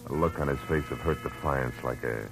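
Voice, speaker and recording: An actor playing a tough, cynical private detective in clipped, slangy, commanding 1940s radio style. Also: male voice, rumbling low, fairly quick, music in the background. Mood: narrating, brooding. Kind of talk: 1940s radio acting and first-person noir narration. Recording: old radio recording with light hiss.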